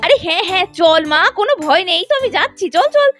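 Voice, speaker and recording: Doll story role: storyteller as caring, flustered young aunt, high swooping voice, hurried reassurance. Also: sing-song, fairly bright tone, full character voice. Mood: reassuring. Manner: fussing, repeats words, reassuring. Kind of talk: children's doll story play-acting. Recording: children's music behind the voice.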